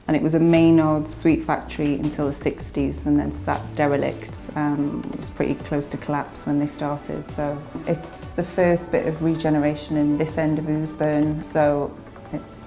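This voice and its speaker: A woman gives a testimonial in an interview. Her voice is low and mellow, and it stays fairly level as she talks. Faint music sits behind her. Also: little pitch movement, moderate pace. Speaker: representative of a business centre.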